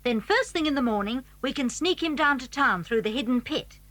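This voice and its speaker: Bright-voiced plucky British schoolboy. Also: female voice, high-pitched, lively intonation, brisk, no echo. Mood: plotting, determined. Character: plucky, scheming schoolboy adventurer. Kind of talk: children's audio drama acting.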